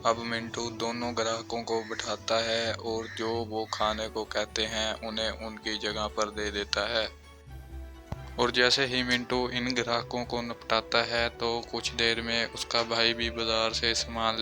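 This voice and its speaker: A man reading scripted storybook narration for a cartoon, in a repetitive, formulaic storytelling manner over music. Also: lowish voice.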